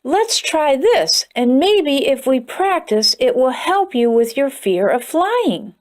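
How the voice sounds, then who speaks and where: Woman reading as a goofy, excitable little bird, high and playful. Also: slow, heavily acted. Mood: eager. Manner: eager, upbeat. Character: silly show-off male bird, eager.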